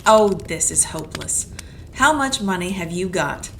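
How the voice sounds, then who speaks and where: A woman's voice: lowish voice, lively intonation, a little slow. A woman playing a tough, wisecracking female private detective, talking in a dry, sardonic, clipped way, in an amateur audio drama. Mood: cool. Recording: clear background noise.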